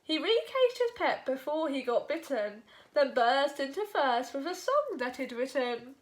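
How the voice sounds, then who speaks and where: A woman's voice: high-pitched, very animated, measured pace. A woman storyteller reading a rhyming children's story expressively.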